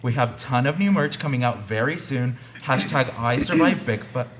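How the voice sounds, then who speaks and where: A man's voice: medium pitch, moderate pace, close to an everyday voice. A young man acting as a self-important, aggrieved podcaster in a comedy radio play. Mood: smug. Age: young adult. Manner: pompous, aggrieved, self-promoting. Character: self-important, whiny male podcaster milking his victimhood.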